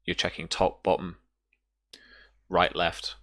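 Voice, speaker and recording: A man narrating a tabletop role-playing game as game master in an improvised, halting way, pausing to find his words. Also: low voice, flat delivery, fairly bright tone.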